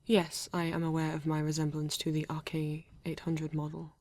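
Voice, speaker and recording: A woman with a British accent playing a formal, reserved male android detective in an ASMR roleplay, speaking stiffly and deliberately with halting pauses. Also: sits low, completely flat delivery, darkish tone, no room sound, lightly acted. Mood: polite, reserved. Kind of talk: ASMR roleplay addressed to the listener.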